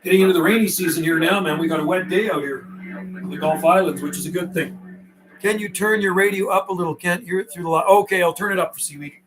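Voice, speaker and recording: A man's voice: high voice, fast talker, a bit bright, rough recording. A friendly, informal man from Canada doing casual live radio chat and call-outs as a CB operator. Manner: friendly, informal, repeats call signs and channel numbers.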